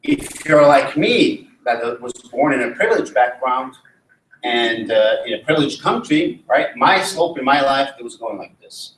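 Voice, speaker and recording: A man from Switzerland gives an academic talk at a conference and turns to the audience with questions. His voice sits at an ordinary middle pitch. It is picked up from some distance in a roomy, echoing hall. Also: animated, unhurried, a bit bright. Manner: engaging, asks the audience questions. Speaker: conference presenter. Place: conference room.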